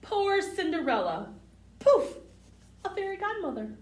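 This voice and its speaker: A woman's voice: very high voice, big pitch swings, a little slow. A woman hosting a children's storytime, reading picture books aloud expressively and putting simple questions to young listeners. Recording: roomy, distant mic, faint background noise.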